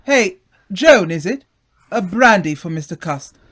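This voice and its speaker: Man playing a hearty, higher-voiced insinuating gentleman. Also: expressive, unhurried, exaggerated voice. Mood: probing, solicitous. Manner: hearty, insinuating, self-assured. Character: smooth, jovial, probing male acquaintance with authority. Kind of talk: old-time radio drama acting.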